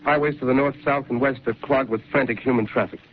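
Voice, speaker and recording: A man's voice: medium pitch, steady tone, close to an everyday voice. An urgent radio announcer, played by a man, races through grave bulletins. The voice is thin and tinny, like an old 1930s broadcast with faint hiss underneath. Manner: rapid, urgent news delivery. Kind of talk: 1930s live radio drama.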